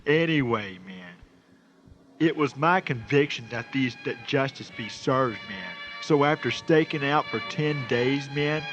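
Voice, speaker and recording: A man acts a slangy male cop narrating a comic radio tale in a middle-pitched voice, tagging "man" onto everything. The audio is dull and lo-fi, with loud music underneath. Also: lively intonation, unhurried, natural voice. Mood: laid-back, earnest. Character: male police officer with a stoner drawl, recounting a stakeout. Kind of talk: first-person comic audio drama narration.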